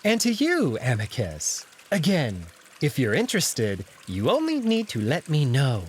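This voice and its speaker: Man voicing a smug jackal, swooping theatrical pitch. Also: wildly swooping pitch, unhurried, a little hiss or hum. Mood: smug, mock polite. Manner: arch, mockingly polite, theatrical. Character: smug, flirtatious, taunting male jackal.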